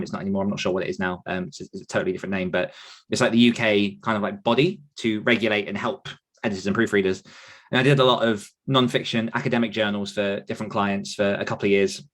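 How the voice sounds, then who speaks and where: A man's voice: on the low side, fairly even intonation, fast talker, fairly bright tone. A British man in his thirties telling his own career story in a casual, rambling, chatty interview style. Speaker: podcast guest, author and writing coach.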